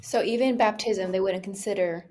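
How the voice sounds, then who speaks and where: A woman's voice: medium pitch, moderate pace, a little mellow. A young woman co-hosting a talk show, asking questions in a casual conversational way.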